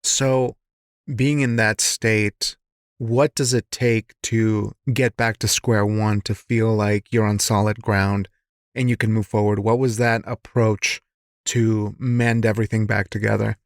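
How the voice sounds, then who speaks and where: A man's voice: fairly low pitch, little pitch movement, measured pace, dry recording, studio quality. A man hosting a casual interview, feeling his way through reflective questions and giving short affirmations. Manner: reflective, searching phrasing, frequent short affirmations. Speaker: podcast host, interviewer.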